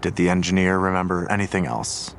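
A man's voice: sits low, fairly even intonation, fairly quick, vocal fry, a little hiss or hum. An actor playing a seasoned, world-weary male homicide detective in an audio drama, asking terse, probing questions. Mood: grave, businesslike.

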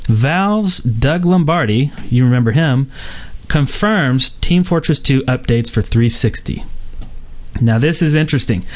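A man hosting a casual gaming podcast. He rambles through the news, padding it with "um" and "uh" and restarting his sentences. His voice sits at a middle pitch for a man. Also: expressive, a little slow. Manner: rambling, many fillers and restarts. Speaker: podcast host.

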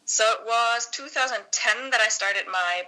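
A woman's voice: on the high side, normal speed, sharp tone, low-quality recording. A woman in her thirties from northern Germany with a German accent, a guest telling her story in a friendly podcast interview and laughing often. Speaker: guest academic.

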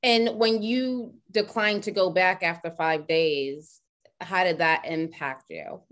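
A woman's voice: fairly low pitch, slow pace. A woman hosting a conversational interview, affirming and asking probing questions. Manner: affirming, lots of mm-hmms, asks probing questions. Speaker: podcast host.

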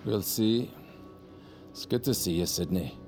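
A man's voice: deep voice, measured pace, a little mellow, soft background music. An actor playing a smug older ex-policeman who talks down to a younger woman. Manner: condescending. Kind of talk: audio drama acting.

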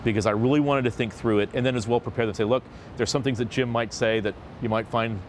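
A man hosting a casual roundtable discussion, talking in an animated and jokey way with an upbeat lilt. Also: mid-range voice, quick pace, a little hiss or hum. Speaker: host of a discussion panel. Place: seated at a table in a city.

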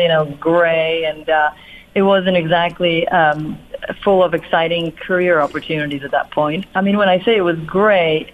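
A middle-aged woman from Poland with a Polish accent, telling her life story as an interview guest in a reflective, rambling way full of fillers and restarts. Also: lowish voice. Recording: narrow phone-like line.

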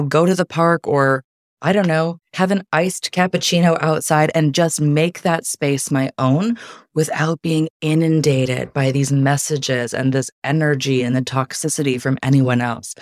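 A woman guest talking about mindset in an interview, with a soft, calming delivery. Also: sits low, no echo, clean studio sound. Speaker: guest, life coach. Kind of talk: casual interview chat.

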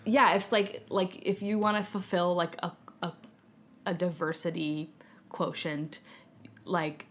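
A woman's voice: fairly low pitch, flat delivery, measured pace, a little hiss or hum. A young American woman talking casually as a podcast guest, giggly and telling stories with acted-out quotes. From United States (San Francisco). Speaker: podcast guest, filmmaker and actor. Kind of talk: casual conversation.

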